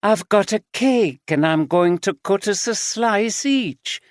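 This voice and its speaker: A man's voice: high-pitched, animated, slow pace, dry recording, clean studio sound, voice pushed up. A man voicing an unhinged middle-aged woman. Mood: eerie tender. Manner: dreamy, then pleading and sharp.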